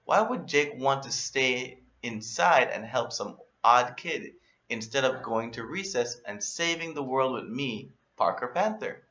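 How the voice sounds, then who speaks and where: A man reading a children's story aloud in an animated, expressive way, as a storyteller. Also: mid-range voice, a little slow, dull audio. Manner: expressive, acts out emphasis and exclamations.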